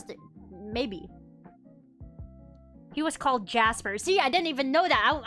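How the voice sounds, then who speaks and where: A young woman streaming as a VTuber, chatting casually while she draws and reacts to chat with animated, mock-outraged restarts. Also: high-pitched.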